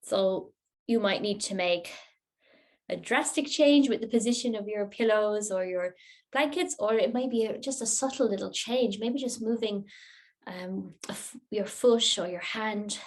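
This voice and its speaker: A woman teaching a yoga nidra class, giving guided relaxation instructions in a gentle, unhurried, soothing manner. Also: mid-range voice.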